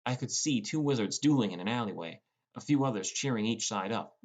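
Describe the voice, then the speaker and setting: Guy narrating a fantasy audiobook in first person, lowish voice. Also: lively intonation, fairly quick.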